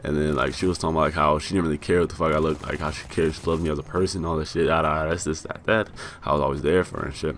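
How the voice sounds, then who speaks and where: A young American man telling a rambling, profane story about himself with self-mocking laughs, his voice a bit raspy from being high. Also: steady tone, rapid-fire, fairly bright tone, slight background noise. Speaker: vlogger. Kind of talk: casual storytelling.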